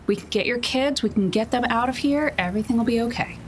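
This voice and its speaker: A woman's voice: a little high, fast talker, slight background noise. A woman playing a tabletop game, speaking casually in character.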